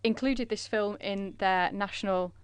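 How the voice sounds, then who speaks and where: A woman interpreting a conference presentation in a measured way, with frequent filler pauses. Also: medium pitch, smooth, dry recording.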